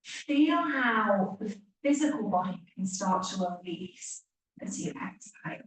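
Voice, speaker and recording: A woman teacher leading a guided relaxation meditation, speaking gently with long pauses and hushed phrase endings. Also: medium pitch, expressive. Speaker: yoga nidra teacher. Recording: echoey room, distant mic, faint hiss.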